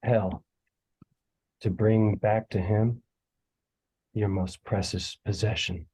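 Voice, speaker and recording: A man acting a creepy mysterious stranger who preaches doom solemnly in a husky voice, reading a horror script. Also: fairly low pitch, steady tone, warm tone, rough recording. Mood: solemn, menacing. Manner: slow, solemn, scripture-quoting delivery. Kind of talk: table read of a horror script.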